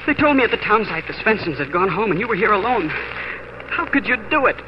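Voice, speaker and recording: An actor playing a devoted, earnest homesteader husband with urgent feeling, in 1940s radio drama style. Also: male voice, sits high, very animated, fast. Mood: urgent, loving. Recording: muffled, noisy 1940s radio broadcast.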